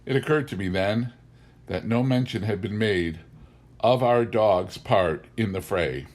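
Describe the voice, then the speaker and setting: Low-voiced man reading a wry memoir. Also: measured pace, a little mellow. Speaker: audiobook reader. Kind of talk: scripted narration of a humorous memoir.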